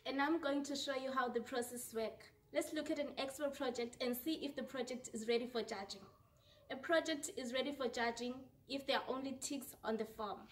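A young adult woman giving a scripted instructional explanation as a science fair presenter. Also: sits high. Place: classroom or lab with display boards, indoors.

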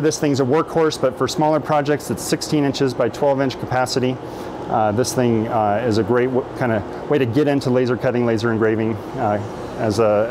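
A man's voice: medium pitch, background noise. A man in his thirties giving a casual, enthusiastic walking tour of a large workshop, gesturing as he talks with many fillers. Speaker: makerspace director giving a shop tour. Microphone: camera mic, medium distance.

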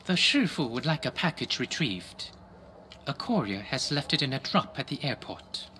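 Wary Triad interpreter type for a game: terse and formal, stern, lively pitch movement, clearly noisy recording. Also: male voice, normal speed. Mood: stern, doubtful. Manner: terse, formal, clipped sentences. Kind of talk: video game cutscene acting. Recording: noisy game audio, ambience.

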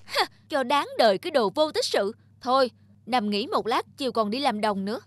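A woman's voice: a little high, animated, moderate pace, no room sound. A woman voicing a weary, resentful mother water buffalo in a cartoon, grumbling and scornful. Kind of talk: cartoon dubbing.

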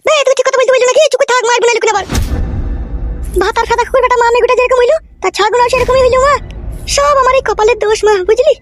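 A woman voicing a foul-mouthed, scolding village woman in a comedy cartoon, with a rural West Bengal accent and a pitched-up voice. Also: racing, over music. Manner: scolding, complaining.

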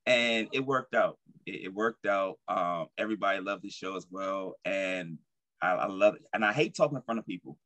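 Low-voiced young man, earnest. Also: normal speed, bright, forceful tone, dry recording. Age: young adult. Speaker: stage actor and guest. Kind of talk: informal interview talk. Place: indoor room at home, on a video call. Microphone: video call.

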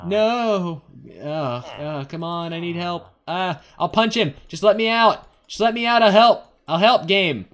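A man's voice: high-pitched, measured pace, fairly bright tone. A young man giving casual, playful gaming commentary, rambling to himself and to the game's characters. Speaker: gaming video host. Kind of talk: casual Let's Play commentary on an adventure game.

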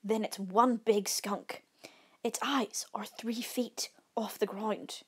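A woman voicing an unbothered male camping companion in a storybook read-aloud, deadpan, dismissive and mocking. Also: medium pitch, takes it slowly, breathy edge. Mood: dry. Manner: deadpan, implacable.

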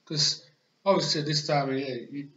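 A man's voice: on the high side, fairly even intonation, moderate pace, sharp tone. A young man co-hosting a casual beer chat, rambling with fillers, recorded on a webcam in a small room. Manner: rambling, many fillers like "you know what I mean". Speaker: co-host. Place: small bedroom with posters, indoors. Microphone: webcam on desk. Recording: roomy, dull lo-fi webcam audio.

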